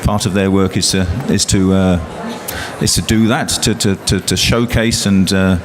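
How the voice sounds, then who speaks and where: A man's voice: low-pitched, fairly even intonation, unhurried, a crowd in the background. A man, a poet speaking on a panel, hesitant with many restarts and fillers. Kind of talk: panel discussion. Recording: loud traffic noise behind.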